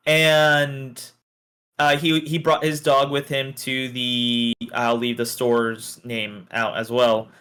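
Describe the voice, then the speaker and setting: A man's voice: mid-range voice, level tone, takes it slowly, a bit bright, clear, resonant tone. A man chatting casually on a podcast and telling everyday stories, with some casual swearing. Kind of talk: casual podcast chat and storytelling.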